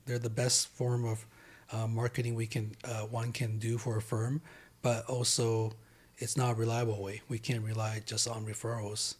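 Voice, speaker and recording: A man from Taiwan, a law firm owner guesting on a podcast in a studio, talking in a steady, plain-spoken way. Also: lowish voice, moderate pace, husky. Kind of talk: casual interview chat.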